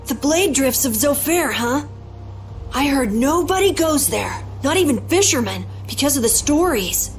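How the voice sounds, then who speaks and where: Earnest young adventurer, stubborn, high voice, game drama, faint music. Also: lively intonation, measured pace. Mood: wary. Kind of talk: video game voice acting.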